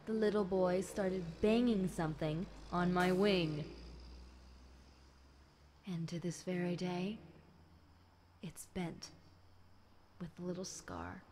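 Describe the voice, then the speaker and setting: A woman's voice: mid-range voice, darkish tone, lightly acted. A woman playing a chatty, centuries-old fallen angel demon girl, talking wryly and conversationally to one listener in an audio roleplay. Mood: wryly aggrieved. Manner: conversational, wry, unhurried, dramatic musing. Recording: clear background noise.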